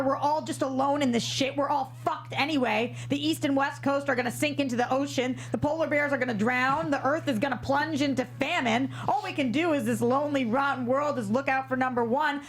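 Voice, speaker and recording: A woman's voice: sits high, a little fast, few pauses, slight background noise, natural voice. An actress playing a jaded, exasperated woman on the run in a crime comedy table read. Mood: despairing, bitter. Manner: curt, exasperated, foul-mouthed.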